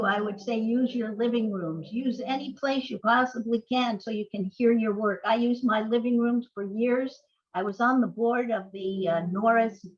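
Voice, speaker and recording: Older woman playwright on a dull recording. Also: a little high. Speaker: playwright being interviewed. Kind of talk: conversational interview answers.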